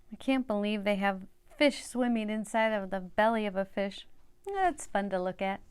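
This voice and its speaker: Older woman, children's storyteller, fairly high pitch, very slow pace, simple direct address to young listeners. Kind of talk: read-aloud children's Bible story with chatty asides.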